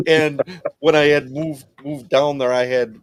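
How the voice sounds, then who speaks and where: A man's voice: a little high, steady tone, slow. A man from Wisconsin in a casual group chat who laughs after his own stories.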